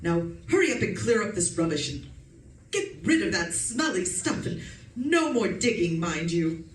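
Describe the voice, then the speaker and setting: A woman's voice: fairly low pitch, very animated, moderate pace. A woman playing a bossy, scolding middle-aged wife in an old-time radio suspense play. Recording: roomy, distant mic, faint noise.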